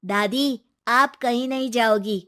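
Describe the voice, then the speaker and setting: Woman voicing a high-pitched, earnest young boy. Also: slow, a bit bright. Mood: eager, reassuring. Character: young grandson, earnest, stubborn, devoted to his grandmother. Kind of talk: scripted animated moral story dialogue.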